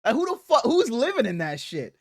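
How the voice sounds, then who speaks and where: A man's voice: very high voice, huge pitch swings, brisk. A man hosting a casual sports podcast, slightly congested, talking in loose, profane slang. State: slightly congested, under the weather.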